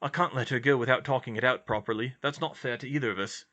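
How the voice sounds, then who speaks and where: A man voicing a kind, steady teenage boy in a story, earnest and gently reassuring. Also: mid-range voice, fast, lightly acted. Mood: troubled, sincere.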